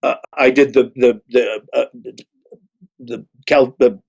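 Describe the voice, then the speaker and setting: A middle-aged man, an actor, talks reflectively in a panel discussion, haltingly, often repeating and restarting his words. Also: medium pitch, fairly even intonation, creaky, darkish tone, husky.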